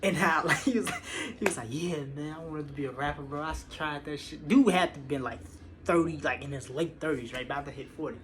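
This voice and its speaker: Young man, American accent, slightly high voice, casual storytime, slangy and sweary, animated retelling, clearly noisy recording. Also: fairly quick. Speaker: online storyteller.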